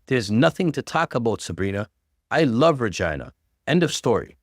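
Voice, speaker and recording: An earnest, desperate husband in a story reading, performed by a male narrator. He protests his innocence emphatically, pitch leaping widely. The recording is dry. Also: sing-song, normal speed, no echo. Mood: angry.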